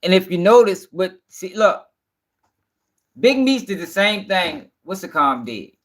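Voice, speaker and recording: Guy w high voice hosting a stream, blunt slangy talk, swears, says stuff twice to make his point. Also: big pitch swings, a little slow, fairly bright tone. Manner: blunt, repeats himself for emphasis. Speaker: host. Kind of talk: casual livestream commentary with slang and swearing.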